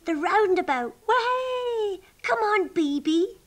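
Lady doing a silly excitable sheep for a kids story, super high, bleaty and bouncy. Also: takes it slowly, full character voice. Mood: gleeful. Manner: exclamatory, bleating. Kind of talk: children's storybook reading.

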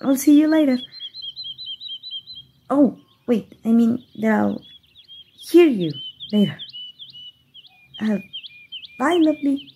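A shy, giggly young fairy who keeps stumbling over her words, her voice a little high but soft and mellow, as a woman voices her. Faint birdsong drifts behind her in this gentle ASMR roleplay. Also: lively intonation, slow pace, long pauses, a little hiss or hum, exaggerated voice. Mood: flustered. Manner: giggly, bashful, stammering. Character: playful flustered young female fairy. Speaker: voice actor.

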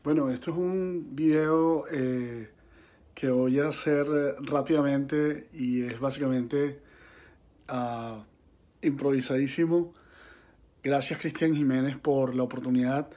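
A man giving an improvised, halting video message to his uncle. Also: medium pitch, fairly even intonation, warm tone. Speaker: guest's nephew sending a message.

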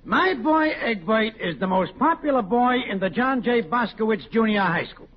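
A man's voice: high voice, expressive, normal speed, crowd noise. An actor playing a proud, indignant factory worker and father in a 1940s radio comedy. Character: proud, indignant factory worker bragging about his son. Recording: dull, noisy 1940s radio recording.

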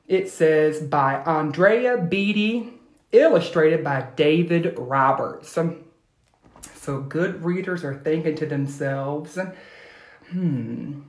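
An American man, a teacher in his thirties, reading aloud to children and asking them questions in an expressive, instructional way, recorded on a phone at home. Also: on the high side. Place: small room at home, indoors. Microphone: phone at arm's length. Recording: echoey room, phone recording.

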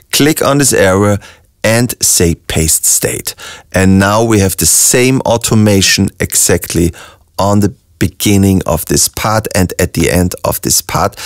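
A young man with an Austrian German accent, a music producer calmly walking through a software tutorial step by step with frequent ums. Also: fairly low pitch, gravelly, no echo, studio quality. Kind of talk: screen-recorded software tutorial.

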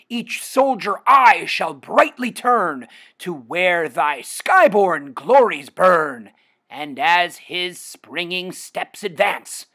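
Husky theatrical man reciting poetry slowly. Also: high voice, pushed and bright. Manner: theatrical, declamatory. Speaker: poetry reader. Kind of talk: dramatic poem recitation.

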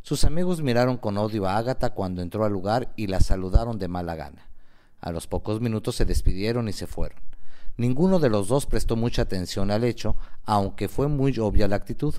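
A man's voice: fairly low pitch, a little mellow. A man narrating a scripted radio novela in a dramatic storytelling style.

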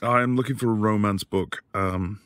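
A man acting a quiet, tender professor husband in an intimate boyfriend ASMR roleplay, soft-spoken and hesitant. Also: on the low side, steady tone, normal speed, no room sound, natural voice. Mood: fond, gently teasing. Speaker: audio roleplay voice actor.

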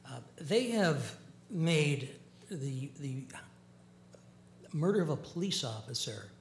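A man in his fifties speaking formally as a lawmaker at a public hearing, giving a measured, halting rebuttal into a desk microphone. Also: fairly high pitch, animated. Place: large indoor legislative hearing room, seated at the dais. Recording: echoey chamber with hum.

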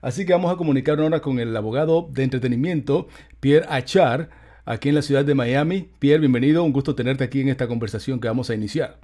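Mellow-voiced man hosting. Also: mid-range voice, quick pace. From Dominican Republic. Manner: enthusiastic, presenter delivery. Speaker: interviewer, show host. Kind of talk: broadcast-style interview. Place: home studio with neon sign. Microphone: large studio mic on arm, earbud.

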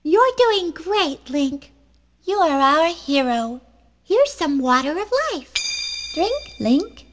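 An actress playing a sweet, encouraging fairy helper in a game cartoon, with an earnest sing-song delivery. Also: female voice, high-pitched, very animated, takes it slowly, fairly bright tone, heavily acted.

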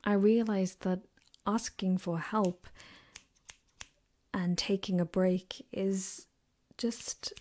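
A woman playing a gentle, caring girlfriend in an ASMR roleplay, speaking softly and hesitantly, sometimes whispering, close to the mic. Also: mid-range voice, steady tone, slow, lightly acted. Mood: tender, reassuring. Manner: soft-spoken, hesitant, rambling. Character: gentle caring girlfriend, comforting her partner. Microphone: close binaural microphone.

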